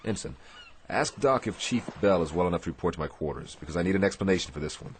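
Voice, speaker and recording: Deep-voiced commanding Navy captain. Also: male voice, lively intonation, quick pace. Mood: brisk. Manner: clipped, commanding. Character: authoritative male Navy destroyer captain, terse and grave. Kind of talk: radio drama acting.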